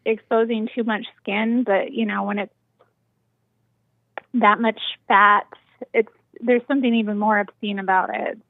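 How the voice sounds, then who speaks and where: An American woman calling in to a show, blunt and judgmental, speaking in a measured way. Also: medium pitch, fairly even intonation, no room sound. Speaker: caller. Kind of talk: phone call-in chat. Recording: tinny phone line.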